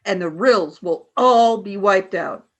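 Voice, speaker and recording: Female alien military leader, played by an actress, medium pitch, clipped and icy, menacing authority, radio sci-fi drama. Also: slow pace. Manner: clipped, barked orders. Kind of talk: radio play acting.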